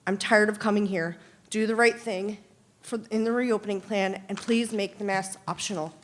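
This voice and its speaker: Woman reading out an angry public comment, accusing and sharp, mid-pitched voice. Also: steady tone, normal speed. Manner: accusatory, combative.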